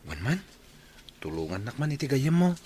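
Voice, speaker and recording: A man's voice: medium pitch, very animated, moderate pace, warm tone. A man playing a polite, persistent young suitor in an Ilocano radio comedy drama, with a Filipino accent. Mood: hopeful. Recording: clear background noise and ambience.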